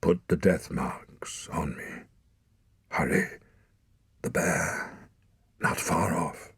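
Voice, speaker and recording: A man voicing a dying hunter father, breathless, speaking in faint, halting whispers. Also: very deep voice, a bit bright, slightly rough, dry recording, studio quality, full character voice. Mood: urgent.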